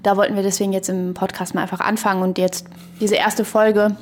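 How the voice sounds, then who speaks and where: A woman co-hosting a podcast, speaking casually and reflectively, haltingly thinking aloud in academic vocabulary. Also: medium pitch, little pitch movement, slight background noise. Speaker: podcast co-host.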